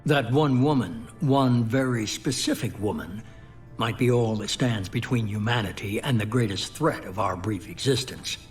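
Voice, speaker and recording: A man playing a shadowy, calculating mastermind in a sci-fi video game, speaking in a measured, portentous way. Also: lowish voice, expressive, soft background music, close to an everyday voice. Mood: measured, grave. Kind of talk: video game cutscene acting.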